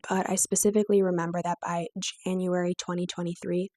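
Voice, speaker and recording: A woman's voice: lowish voice, level tone, quick pace, mellow, dry recording, clean studio sound. A woman in her twenties with a weak, strained, breaking voice, telling her own story to camera in a candid, lightly self-mocking way. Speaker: vlogger.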